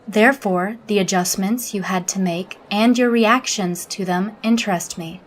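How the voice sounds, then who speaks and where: A woman playing a logical alien officer in an audio drama, interviewing in a formal, measured, reserved manner. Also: mid-range voice, slight background noise, lightly acted.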